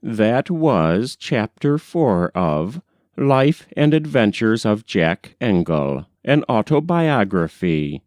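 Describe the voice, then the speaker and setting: A man narrates a classic novel in a measured, expressive way. His pitch is on the low side but moves up and down freely, and he takes his time over each phrase. The recording is dry and close. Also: dry recording. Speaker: audiobook reader. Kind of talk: scripted literary narration of a novel.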